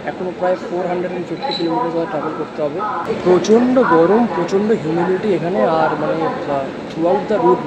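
A man's voice: high-pitched, low-quality recording. A young man with a Bengali accent, vlogging on a trip and talking to a phone held at arm's length. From West Bengal. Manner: brisk, mixes English words into Bengali. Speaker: travel vlogger. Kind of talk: on-camera travel vlog. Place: train stations and a bus terminal, indoors and out. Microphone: handheld phone at arm's length.

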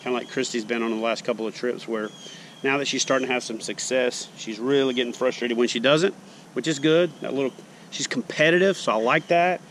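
A man in his forties, a fishing show host, casually demonstrating tackle tips in a backyard, speaking in a relaxed, folksy way. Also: mid-range voice, a little fast, slight background noise. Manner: relaxed, folksy explaining, plain talk. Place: backyard lawn outdoors. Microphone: camera mounted close on chest.

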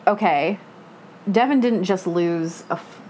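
A woman in a casual book discussion, telling things in an animated way and quoting her own reactions. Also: on the low side, a little slow, low-quality recording. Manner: animated storytelling with self-quoted reactions. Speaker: book club panelist. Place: living room, seated on a couch. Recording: faint room noise.

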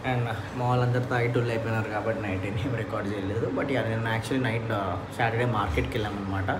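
A young man vlogging casually, mixing in English words as he talks about his trip and the rental flat. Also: lowish voice, fast talker. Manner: mixes Telugu with English, chatty and upbeat. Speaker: motovlogger. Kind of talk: casual vlog narration. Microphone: lav mic on helmet while riding. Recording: road noise.

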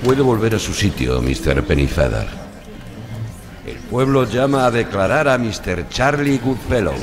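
A man's voice: lowish voice, very animated, a little slow. An actor playing a stern, formal judge in an audio drama, a man. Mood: formal, probing. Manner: formal, authoritative. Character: stern, formal male judge questioning in court.

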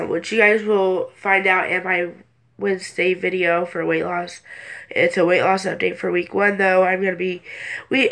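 Teenage woman, stuffy-nosed, casual vlog chat, hesitant, easily sidetracked, medium pitch. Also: a bit bright. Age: late teens, almost 18. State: congested sinuses. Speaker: vlogger. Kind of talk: casual rambling chat to camera. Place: small bedroom, indoors.

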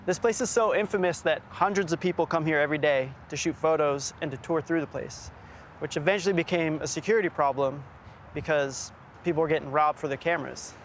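A man's voice: on the high side, fairly quick, a little hiss or hum. A man in his thirties hosting a documentary, talking thoughtfully and casually, partly on location outdoors. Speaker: host. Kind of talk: documentary presenting and voice-over. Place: outdoors and inside an abandoned factory.

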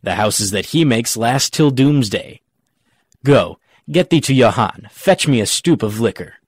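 A man's voice: mid-range voice, expressive, unhurried, no room sound, clean studio sound. A man playing a cocky, quibbling rustic gravedigger. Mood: teasing, cocky. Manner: pedantic, jokey mock-logical argument. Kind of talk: dramatic audiobook reading of Shakespeare.

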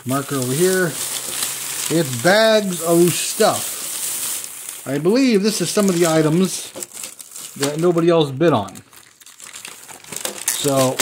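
A man's voice: a little high, background noise. Chatty man unboxing toy cars. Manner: chatty, thinks aloud while handling items. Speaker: toy car collector unboxing. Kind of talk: casual unboxing commentary. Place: indoors at a table at home.

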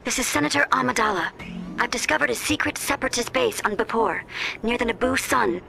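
A woman's voice: fairly high pitch, brisk, creaky, sharp tone, faint music behind, exaggerated voice, poor audio. A woman playing an earnest, urgent senator in a video game, heard over a radio transmission. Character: urgent young female senator on a covert mission. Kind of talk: video game cutscene acting. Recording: faint noise on a transmission.

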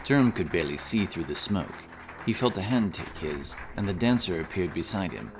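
A man with a very low voice narrates a fantasy tale in storybook fashion. Soft music plays faintly beneath his scripted reading. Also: very animated, normal speed. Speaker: audiobook narrator.

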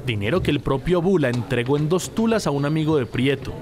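A man's voice: fairly high pitch, lively intonation, fairly quick, a crowd in the background. A man with a Colombian accent voicing a news report in an emphatic narrating style. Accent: Colombian Spanish.

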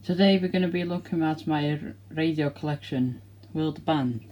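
A man's voice: fairly high pitch, moderate pace, a little mellow. A man with a British accent giving a casual hands-on demonstration as the host of a home video. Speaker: host showing a radio collection. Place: indoors at home, small room. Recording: very noisy home recording with radio static. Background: radio static and clicks.

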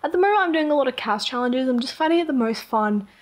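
A young woman with an Australian accent chats away over her gameplay footage, rambling from one thought to the next with plenty of fillers. Her voice sits a little high for a woman. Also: animated, fast. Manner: chatty, rambling, with frequent "like" and small laughs. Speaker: Sims content creator. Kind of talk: casual chat over gameplay. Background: rain heard outside.

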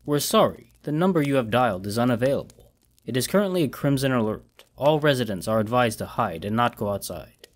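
A man's voice: medium pitch, a little fast, lightly acted. A man voicing a robotic recorded phone message that breaks down and repeats itself. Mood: mechanical. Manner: flat, mechanical.

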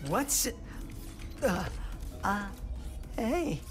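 A man's voice: high voice, sing-song, drawn-out words, over music, exaggerated voice. A man playing a friendly, upbeat young furry alien hero in a video game. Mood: surprised. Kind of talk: video game cutscene acting.

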